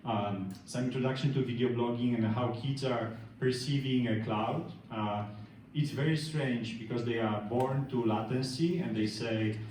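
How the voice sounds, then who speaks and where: Polish-accented man, middle-aged, halting speech full of ums, distant from the mic, echoey hall. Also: mid-range voice, steady tone, a little mellow, slight background noise. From Poland. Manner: hesitant, many fillers and restarts. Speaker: tech speaker. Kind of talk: meetup workshop talk. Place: large meeting room. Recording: echoey room, speaker off-mic.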